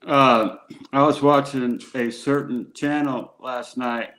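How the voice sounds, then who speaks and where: A man's voice: mid-range voice, fairly even intonation, slow, fairly bright tone. A man on a livestream panel, teasing the host in casual banter. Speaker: caller on a panel.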